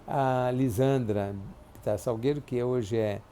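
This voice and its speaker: Gravelly older man recalling family. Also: mid-range voice, dark tone, slight background noise. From Brazil. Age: 70s. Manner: halting, reflective, many restarts and tag questions. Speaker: interviewee. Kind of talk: oral history interview. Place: clinic office.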